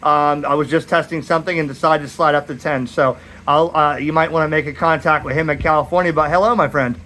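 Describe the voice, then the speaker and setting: An American man with a slightly high voice, speaking casually as a radio hobbyist. He is plain-spoken and informal, talking outdoors and using ham radio phrasing. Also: fairly even intonation, fast, bright, forceful tone, slight background noise, poor audio. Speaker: radio hobbyist making videos. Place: backyard by a metal shed, outdoors.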